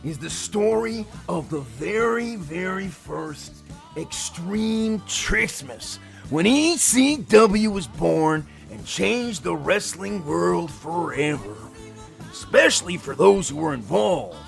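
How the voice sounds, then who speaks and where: A man voicing a hyped-up, boastful macho pro wrestler narrating an audio play, gravelly, with emphatic interjections. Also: high voice, lively intonation, slow pace, faint music behind, heavily acted. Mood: bombastic, gleeful. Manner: punctuates sentences with emphatic interjections. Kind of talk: exaggerated wrestling-promo storytelling.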